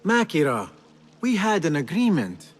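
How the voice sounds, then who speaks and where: An actor voicing an exasperated young infiltrator posing as a servant, dry and measured, in a video game cutscene. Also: male voice, a little high, huge pitch swings, husky, exaggerated voice.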